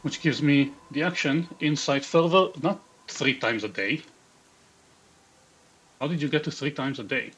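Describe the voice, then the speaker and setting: A man's voice: a little high, unhurried, long pauses, a bit bright, slightly rough. A man from Israel with an Israeli accent, streaming live, reading chat aloud and muttering half-sentences to himself as he builds a game character. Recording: dull lo-fi stream audio.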